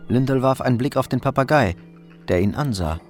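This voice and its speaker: A man's voice: medium pitch, animated, brisk, a little mellow. A man narrating a crime story from a script, as in a radio drama. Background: music.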